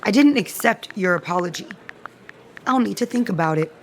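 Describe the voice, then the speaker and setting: An actress playing a self-assured, scheming woman who feigns loyalty, in an audio soap opera with a haughty, smooth delivery. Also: mid-range voice, expressive, normal speed, slight background noise, close to an everyday voice. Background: music under the dialogue.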